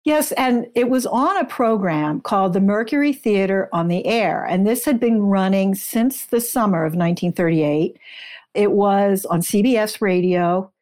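A woman with a medium-pitched voice talks as an expert guest in an interview. She explains things in measured, informative detail, like someone sharing research. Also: darkish tone.

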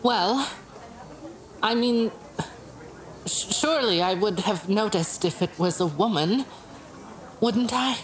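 Slow, hesitant crime witness. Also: female voice, mid-range voice, animated. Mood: unsure, flustered. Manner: halting, uncertain. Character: hesitant, puzzled female mugging victim giving testimony. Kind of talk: audio drama acting.

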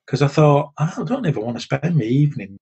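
A man's voice: fairly high pitch, expressive, brisk, creaky, darkish tone, breathy edge, dull audio. A middle-aged man, chatting wryly and restarting his sentences on a gaming podcast. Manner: chatty, stumbling restarts, wry. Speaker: podcast co-host. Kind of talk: casual chat.

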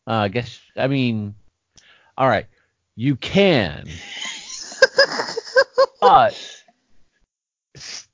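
A man co-hosting a podcast in casual chat, joking, animated, often restarting his sentences. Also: mid-range voice, no room sound. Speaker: podcast co-host. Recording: dry, dull lo-fi sound.

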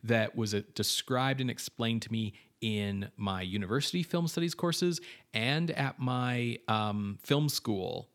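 A man's voice: medium pitch, measured pace, fairly bright tone. A man co-hosting a film podcast, reading background notes on cast and crew in an informative way, with casual fillers and asides. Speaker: podcast co-host.

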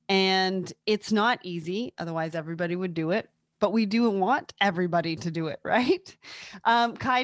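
A woman's voice: lowish voice, no room sound. A woman hosting a panel discussion, enthusiastic and affirming toward her guests. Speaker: host.

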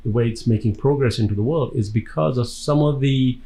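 A man's voice: mid-range voice, brisk, dark tone. Man in his sixties telling personal stories. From Tanzania. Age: 60s. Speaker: interview guest, actor. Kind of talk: conversational personal storytelling.

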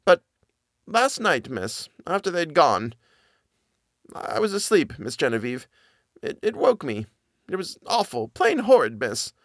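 Brief: male reader doing a jittery middle-aged housekeeper, high and halting, dry close sound. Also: very animated, a bit bright, no echo, exaggerated voice. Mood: fearful. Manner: halting, stammering, plain servant speech. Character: frightened old nanny, a middle-aged housekeeper woman.

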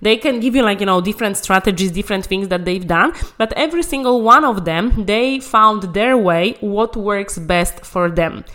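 A woman's voice: medium pitch, moderate pace, hardly any pauses, a bit bright. Emphatic woman coaching. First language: Polish. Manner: emphatic, encouraging, repeats key phrases. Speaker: English conversation coach. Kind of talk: motivational language-learning talk.

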